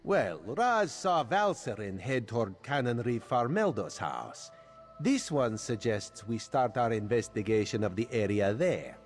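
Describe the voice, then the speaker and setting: Sly catfolk spy for a fantasy game: theatrical, wry, very lively intonation. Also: male voice, medium pitch, unhurried, faint music behind. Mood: wry, inquisitive. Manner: speaks of self in third person, theatrical. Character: sly, wry catlike Khajiit agent, adult male. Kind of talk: video game character dialogue.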